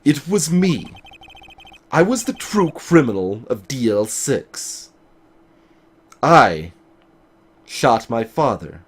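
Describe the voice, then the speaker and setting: A man's voice: mid-range voice, very animated, slow, long pauses, husky, pitched below the performer's own voice, exaggerated voice. A man voicing a grave, haunted male prosecutor in a video game. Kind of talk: voiced visual novel game dialogue.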